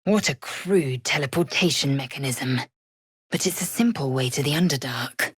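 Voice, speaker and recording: An actress voicing a fierce, haughty young alien warrior woman in a video game, husky and breathy, with an imperious, clipped, formal manner. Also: extremely low pitch, normal speed, no echo, clean studio sound, full character voice. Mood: cool, measured.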